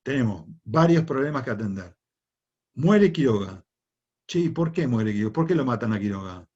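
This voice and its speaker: A man's voice: mid-range voice, lively intonation, moderate pace, darkish tone, slightly rough, dull audio. A man with an Argentine accent teaching a history class, lecturing conversationally and often checking in with rhetorical questions. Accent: Argentine Spanish.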